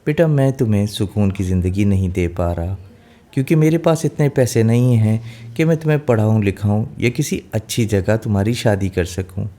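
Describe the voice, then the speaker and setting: A man voicing a loving, poor old father in a fairy tale, speaking gently as if giving advice. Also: lowish voice, moderate pace, close to an everyday voice. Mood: tender, regretful. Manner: gentle, advising.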